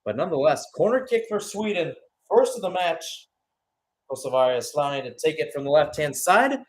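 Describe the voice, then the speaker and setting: A man's voice: fairly high pitch, big pitch swings, fairly quick, a little hiss or hum. A man giving radio-style live play-by-play football commentary as the match commentator.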